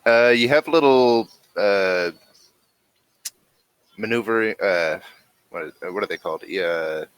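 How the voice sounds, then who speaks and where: A man narrating a tabletop role-playing game as game master, halting as he searches for words. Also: on the low side, poor audio.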